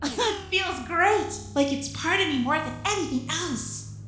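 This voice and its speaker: A woman's voice: extremely high pitch, lively intonation, unhurried. A woman playing an eager, cheerful pilot inside a robot suit in an amateur audio drama, upbeat and chuckling. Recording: echoey, music underneath.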